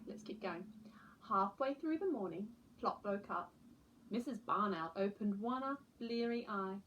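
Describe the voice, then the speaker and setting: Lady reading a kids story out loud, kinda high voice, really lively pitch. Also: muffled recording, slight background noise. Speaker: storyteller, teacher.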